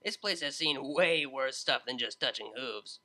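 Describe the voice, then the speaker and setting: A man's voice: medium pitch, unhurried, sharp tone. A man voicing a laid-back young female unicorn DJ, talking in a casual, slangy, self-mocking way. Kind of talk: fan audiobook character voice.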